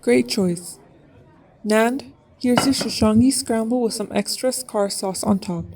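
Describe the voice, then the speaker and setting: An actress playing a friendly, attentive diner server with a homely, accommodating manner in an audio drama. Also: female voice, a little high, a little slow, darkish tone, recorded from a distance. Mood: friendly, helpful.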